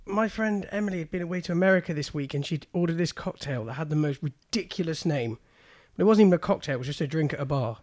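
A man's voice: a little high, animated, quick pace, hardly any pauses, a little mellow, dry recording. A British man co-hosting a casual chat show with dry, mock-serious, emphatic banter. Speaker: co-host of a chat show about beer and snacks.

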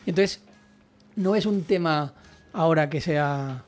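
A man's voice: a little high, measured pace. A young man from Catalonia with a Catalan accent, chatting as an interview guest, rambling with many restarts. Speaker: guest writer and journalist. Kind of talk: casual interview chat.

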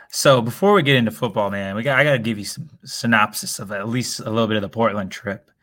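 Slightly hoarse man chatting on podcast. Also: on the low side, brisk, a bit bright. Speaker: podcast co-host. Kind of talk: casual sports chat. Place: hotel room.

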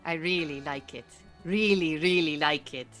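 An actress playing an Iranian refugee woman who keeps bees, a dry, earnest, patient mentor with measured phrasing in an audio drama. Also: low voice, a bit bright, faint music behind. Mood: enthusiastic. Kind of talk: naturalistic audio drama dialogue.

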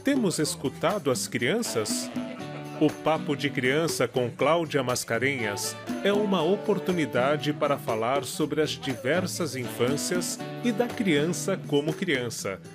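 A man reading a scripted introduction for a talk program in a slow, measured way. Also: a little high, lively intonation. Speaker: program announcer. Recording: voice-over with clear guitar music. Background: guitar music.

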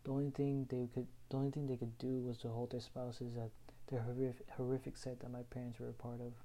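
A young man from Houston, Texas, reading his own written personal story aloud in an earnest, measured way. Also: medium pitch, little pitch movement, dark tone. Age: young adult.

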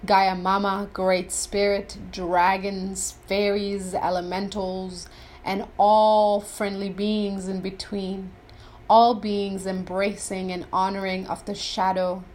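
A woman leading a scripted guided meditation in a deliberate, reverent, instructional way. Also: medium pitch, flat delivery, darkish tone.

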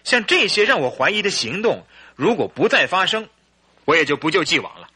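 An actor playing a suspicious, anguished husband in a radio drama, with a husky, earnest and theatrical delivery. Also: male voice, high voice, very animated, fairly quick, pushed and bright, exaggerated voice. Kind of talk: Mandarin radio drama acting and storytelling.

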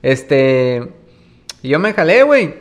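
A man's voice: fairly high pitch, very animated, slow pace. Laid-back Baja Californian man in his thirties. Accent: northern Mexican, Baja California. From Ensenada, Baja California, Mexico. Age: 30s. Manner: slangy, tags nearly every phrase with "güey". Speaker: musician guest. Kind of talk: casual interview storytelling.

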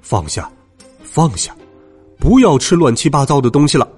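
A man voicing a gruff, blustering middle-aged zookeeper in a children's storybook narration, impatient but kindly. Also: on the high side, big pitch swings, moderate pace, over music. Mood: commanding. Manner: loud, blustering, hesitant grunts.

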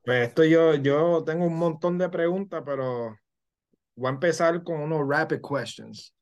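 A man hosting a podcast interview, speaking haltingly and switching between English and Spanish. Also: on the high side, muffled recording. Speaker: podcast host. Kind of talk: casual interview talk.

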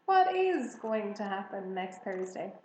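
A woman's voice: medium pitch, big pitch swings, measured pace, darkish tone, echoey room, a little hiss or hum. A woman reading a storybook, voicing an eager, curious young girl with emphatic questions.

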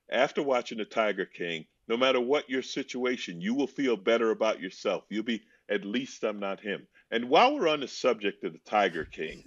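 Deep-voiced man joking over a video call. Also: breathy edge, no echo. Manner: wry deadpan joking, drawn-out punchlines. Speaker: guest comedian answering fan questions. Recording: dull, lo-fi video-call audio.

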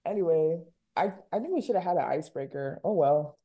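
A woman's voice: sits low, expressive, a little fast, mellow. A woman in her twenties hosting a casual podcast over a video call, playful and teasing with her guests. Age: 20s. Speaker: podcast host. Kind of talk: casual chat.

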